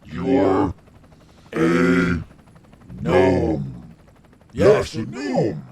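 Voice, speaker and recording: A man voicing a huge, awestruck horned creature that marvels haltingly at small folk in a tabletop game. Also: animated, over a noisy crowd, heavily acted. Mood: amazed, curious. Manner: halting, booming exclamations, marveling.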